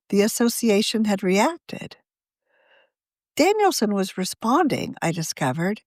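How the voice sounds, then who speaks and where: A woman who is a magazine deputy editor, reading her own article aloud in a measured, expressive way. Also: mid-range voice, no room sound, studio quality.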